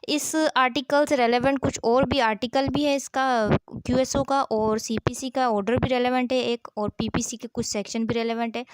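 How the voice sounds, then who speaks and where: A woman's voice: a little high, smooth, no room sound, low-quality recording. A woman lecturing in a didactic way, explaining and reading aloud as a teacher. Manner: didactic, poses questions and answers them herself. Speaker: law lecturer.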